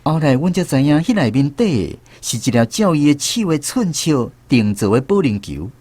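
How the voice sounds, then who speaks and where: A man's voice: a little high, sing-song, moderate pace, darkish tone, no room sound. A man with a Taiwanese accent narrating a scripted first-person story for a radio drama. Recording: music behind the voice. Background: music.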